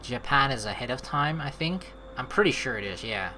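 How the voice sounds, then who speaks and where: Female let's player, low register, forceful and highly animated, casual playful commentary and read-aloud over game music. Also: moderate pace. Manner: expressive, playful, swearing. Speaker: let's play host.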